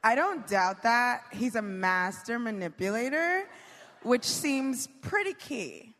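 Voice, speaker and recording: A woman reading the part of an agreeable, gossipy friend in a text chat, as an expressive comic dramatic reading on stage. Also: on the high side, takes it slowly, full character voice. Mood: agreeing, knowing. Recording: reverberant theater, faint noise.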